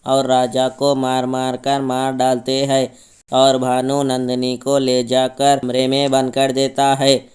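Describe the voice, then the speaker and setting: A man's voice: mid-range voice, monotone, takes it slowly, a little mellow, smooth. A man narrating a scripted horror story as its storyteller.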